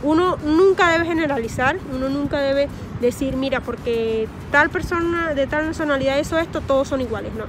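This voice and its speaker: A woman's voice: high-pitched, moderate pace, hardly any pauses, over music. A woman in her thirties with a Venezuelan accent, talking to camera outdoors in a park and earnestly giving advice, often repeating words as she searches for them. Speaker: vlogger. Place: park outdoors among trees. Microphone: phone or camera at close range, face masked.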